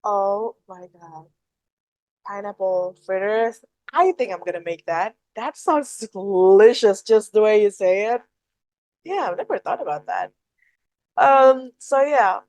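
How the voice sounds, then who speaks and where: A woman's voice: mid-range voice, lively intonation, a little slow, darkish tone, dry recording. Woman, dry sound. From Indonesia. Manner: chatty, explains food customs with lists. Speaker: podcast guest. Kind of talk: casual podcast chat.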